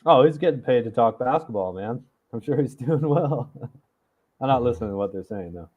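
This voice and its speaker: A man's voice: medium pitch, lively intonation, fairly quick, darkish tone. A man co-hosting a casual chat from a remote call, thinking aloud in a chatty way. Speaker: regular co-host. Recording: tinny phone-like line.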